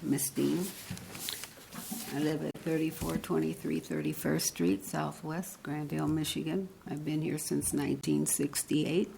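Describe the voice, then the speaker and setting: A woman in her eighties, speaking at a council meeting's public comment, halting and earnest as she searches for words. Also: low voice, little pitch movement, creaky, mellow, slight background noise, low-quality recording. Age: 80s. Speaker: resident giving public comment. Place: council chamber podium.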